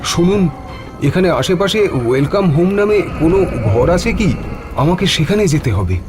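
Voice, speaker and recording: A man voicing an anxious, stranded doctor in an animated horror story, polite but urgent and insistent. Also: sits high, normal speed, voice pushed up. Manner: urgent, questioning, exclaiming. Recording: music behind the voice.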